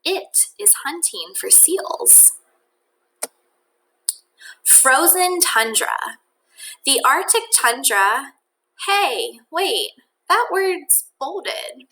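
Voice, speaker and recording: Slow, bright-voiced woman reading to children. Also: sits high, poor audio. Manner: expressive, child-directed, deliberate enunciation. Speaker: teacher. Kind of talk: read-aloud of a children's nonfiction book with think-aloud asides.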